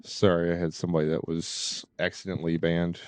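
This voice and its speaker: A man live streaming and chatting casually to viewers, halting and often repeating his phrases. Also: low-pitched, level tone, a little mellow, no room sound.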